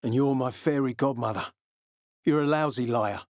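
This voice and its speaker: Game role: gruff military commander, male actor, suspicious, curt and threatening, profane streak, recorded dry. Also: medium pitch, normal speed, darkish tone, no room sound, lightly acted. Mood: contemptuous threat. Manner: terse, menacing, sardonic, profane. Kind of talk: video game character dialogue.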